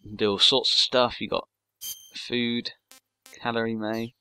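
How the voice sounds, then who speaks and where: A man's voice: medium pitch, flat delivery, unhurried, pushed and bright, slight background noise, rough recording. A man giving casual, chatty game commentary with offhand muttered asides. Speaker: let's play commentator.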